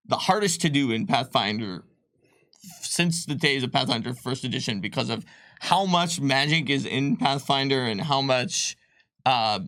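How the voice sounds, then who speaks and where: A man walks through tabletop rule options on a live stream in a bright, forward voice. He talks loosely and chattily, with plenty of fillers and the odd laugh, close to a dry microphone. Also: mid-range voice, no room sound. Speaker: game designer presenting a rulebook on a live stream. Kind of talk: casual explanatory stream chat.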